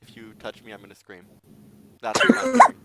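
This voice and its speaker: A man with a gravelly voice running a tabletop game on stream, chatting casually in a rambling, joking way and acting out imaginary dialogue. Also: medium pitch, huge pitch swings, brisk. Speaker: game master.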